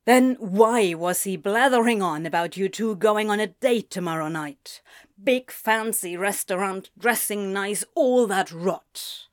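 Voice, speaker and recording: Audiobook role: tense young male student played by a woman, short-fused and blunt, slow, weighted delivery. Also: mid-range voice, no room sound, clean studio sound, lightly acted. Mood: irritated, insistent. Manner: clipped, blunt, snapping.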